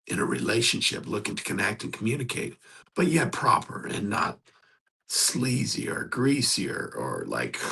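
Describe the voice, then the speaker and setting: A man with a hoarse, gravelly voice hosts a motivational coaching talk. He speaks earnestly and conversationally, exhorting his listeners. His pitch swings widely, and his tone is rough and husky throughout. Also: pushed and bright. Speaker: host.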